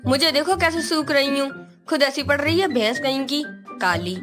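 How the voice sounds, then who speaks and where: A woman's voice: high voice, expressive, normal speed. A woman playing a screeching, foul-mouthed old mother-in-law. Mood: shrieking abuse. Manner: scolding, screaming insults. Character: foul-mouthed, bad-tempered old mother-in-law, always shouting insults. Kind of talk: comedy sketch acting. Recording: clear music behind the voice.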